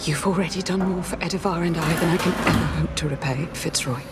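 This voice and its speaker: An actress playing a devoted, resolute queen and protective mother, speaking gently and earnestly in a game cutscene. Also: on the low side, quick pace, loud music behind the voice, close to an everyday voice. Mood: grave, resolute. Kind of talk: video game cutscene drama acting.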